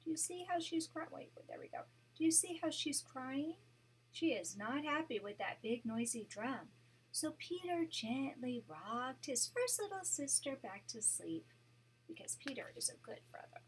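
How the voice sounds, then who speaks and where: Breathy, animated older woman reading to a child. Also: sits high, low-quality recording. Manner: animated, pausing to point out pictures. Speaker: grandmother reading to a grandchild. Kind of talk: children's storytime read-aloud.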